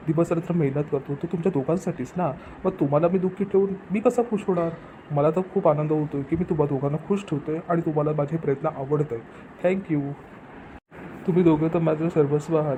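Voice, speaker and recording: A man's voice: a little high, fairly even intonation, fairly quick, warm tone, close to an everyday voice, rough recording. A man playing a cheerful, devoted father in a cartoon family comedy, speaking in an affectionate, earnest way. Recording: clear background noise, ambience.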